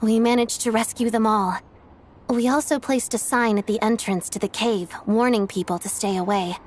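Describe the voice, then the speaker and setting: A woman's voice: on the high side, fairly even intonation, normal speed, darkish tone, slight background noise, lightly acted. A woman voicing a cool, terse young woman agent in a video game, speaking in short clipped lines. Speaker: game character. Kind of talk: game voice acting. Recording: faint game music behind.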